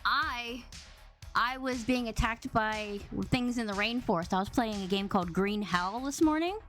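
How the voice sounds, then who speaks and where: A woman hosting a casual live-streamed podcast, bubbly and animated with a big, boomy voice. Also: moderate pace. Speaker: podcast host. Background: faint background music.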